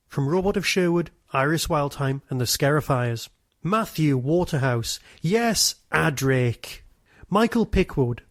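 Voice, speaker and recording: A man speaking as a podcast host. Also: a little high. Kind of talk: casual interview chat and scripted announcements.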